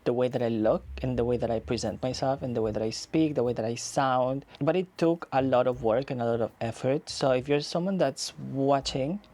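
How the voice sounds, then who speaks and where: A man's voice: mid-range voice, brisk, few pauses, darkish tone. Chatty man in his thirties, vlogging. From Spain. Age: 30s. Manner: chatty, reflective, encouraging, repeats phrases for emphasis. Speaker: YouTuber. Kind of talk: casual vlog talking to camera.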